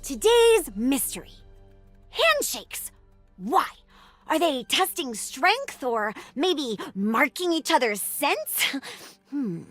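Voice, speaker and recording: Playful feral vampire girl, high and lively, faint music behind. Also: takes it slowly, no echo, full character voice. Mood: curious. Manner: playful, naive, bouncy delivery. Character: feral young female vampire, cheerful and curious. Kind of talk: game voice lines.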